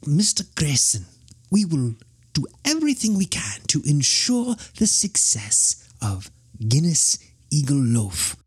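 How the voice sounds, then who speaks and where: A woman's voice: low voice, huge pitch swings, slow, a little mellow, husky, no room sound, studio quality, exaggerated voice. A woman voicing a polite, deferential female bakery coworker.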